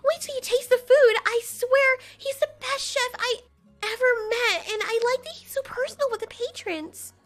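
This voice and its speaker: A woman's voice: very high voice, normal speed, a bit bright, soft background music, pitched above the performer's own voice. A woman voicing a young, sweet and chatty female monster in an audio drama, with a perky, earnest manner. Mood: eager.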